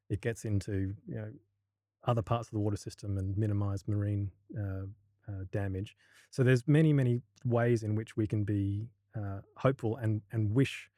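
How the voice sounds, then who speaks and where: A man's voice: lowish voice, dark tone, no echo, studio quality. An Australian man, a university lecturer, talking as a guest in a casual podcast interview, hesitant and full of restarts. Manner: hesitant, many restarts and fillers.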